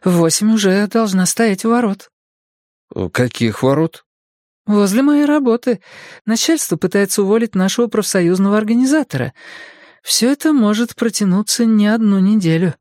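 A man's voice: high voice, lively intonation, moderate pace, darkish tone, no room sound, clean studio sound, pitched above the performer's own voice. A man voicing a reserved young woman activist who is out of breath after a run. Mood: earnest.

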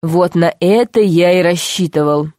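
A woman voicing a calm, mockingly sweet male underground tyrant in a storybook, speaking in a slow, deliberate, commanding way. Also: lowish voice, no echo, clean studio sound, lightly acted. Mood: mock-sweet menace. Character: calm, menacing male underground ruler, mockingly sweet, power-hungry villain.

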